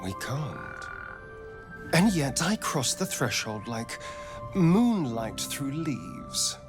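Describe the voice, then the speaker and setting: Flamboyant, theatrical vampire over music. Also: male voice, medium pitch, very animated, extremely slow. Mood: smug, purring. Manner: arch, dramatic, drawling emphasis. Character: flamboyant, sardonic male vampire spawn, haunted by his master. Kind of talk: theatrical video game voice acting. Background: background music.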